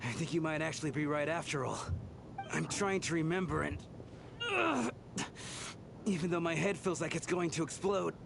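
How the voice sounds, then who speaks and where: An actor playing the remorseful ghost of a devoted bodyguard in a video game, earnest, wistful and self-effacing. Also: male voice, fairly high pitch, expressive, normal speed, vocal fry, noisy background. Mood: dawning realisation. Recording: game music behind the voice.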